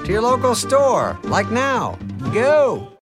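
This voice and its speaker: Upbeat retail ad announcer, animated pitch, music bed. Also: takes it slowly. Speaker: advertisement announcer.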